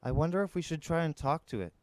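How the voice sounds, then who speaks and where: Man voicing a curious teenage girl, pitched up. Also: animated, fast talker, darkish tone, no echo, close to an everyday voice. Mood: thoughtful. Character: curious, thoughtful teenage girl, composed and practical.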